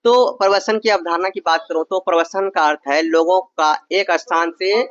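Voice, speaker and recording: Young male exam tutor from Bihar; high voice, conversational explainer; close, dead room, muffled lo-fi sound. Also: steady tone, normal speed, fairly bright tone, no echo. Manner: explains in casual asides, often asks "understand?". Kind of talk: exam-prep lesson, reading answers and explaining.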